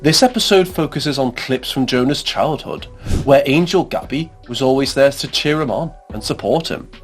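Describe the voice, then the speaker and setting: A man reading a scripted explainer narration in a measured, formal way. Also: mid-range voice, animated. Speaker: narrator of video essays. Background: background music under voice-over.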